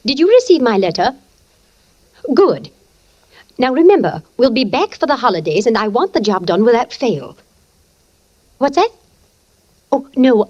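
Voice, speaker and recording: An actress playing an imperious, belittling middle-aged wife who scolds and gives orders in 1940s radio suspense style. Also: female voice, on the high side, darkish tone. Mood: brisk, imperious. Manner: imperious, scolding, clipped commands. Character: bossy, nagging, belittling wife. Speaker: radio drama actor. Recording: muffled old radio recording.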